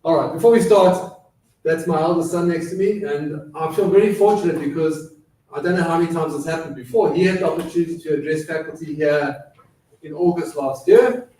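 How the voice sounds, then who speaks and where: A man's voice: a little high, brisk, dark tone. A middle-aged man with a South African accent shaped by years in Britain, giving a chatty, self-deprecating lecture full of fillers in a college lecture room. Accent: South African with British influence. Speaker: guest speaker. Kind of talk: lecture presentation. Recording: echoey room, voice far from mic.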